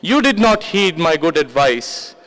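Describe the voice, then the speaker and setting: A man performs a wrathful, all-encompassing god in a dramatic poetry recitation. The voice is high for a male and very deliberate, declaiming each phrase with solemn command. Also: very animated, a bit bright, poor audio. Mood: grave warning. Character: wrathful male god revealing his vast cosmic form.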